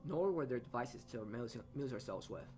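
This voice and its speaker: Man reading game narration, mid-pitched. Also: lively intonation, a little fast, darkish tone, a little hiss or hum. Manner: stumbles over words, rereading phrases. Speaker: let's-player reading aloud. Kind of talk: reading visual novel narration aloud.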